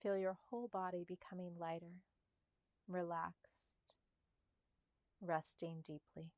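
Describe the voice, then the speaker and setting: A woman guiding a meditation in a soft, slightly breathy voice, speaking calmly and soothingly in short, spaced phrases. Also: lowish voice, slow pace, no echo, lo-fi sound. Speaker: meditation guide. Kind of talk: guided meditation narration.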